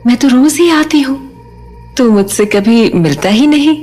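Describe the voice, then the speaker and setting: A woman's voice: a little high, expressive, measured pace, dark tone, soft background music. A woman playing an undead village mother in a horror audio drama, sweetly coaxing and teasing as she lures people out.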